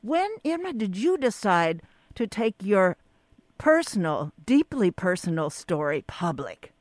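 A woman hosts a radio interview program in a voice of middle pitch. She reads a formal introduction and then asks questions, restarting some sentences. The studio sound is dry and close. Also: sing-song, measured pace, no echo. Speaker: radio show host.

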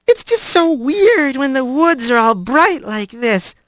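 A man voicing excited young opossum children in a storybook reading, eager and squealing. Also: very high-pitched, takes it slowly, no echo, voice pushed up, full character voice.

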